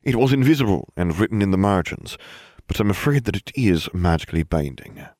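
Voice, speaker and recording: Brief: sly elderly headmaster, put on by a man; breezy and polite, animated. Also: deep voice, fairly quick, no room sound, clean studio sound. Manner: cheerful, genteel and unflappable. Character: twinkly, scheming old male headmaster wizard.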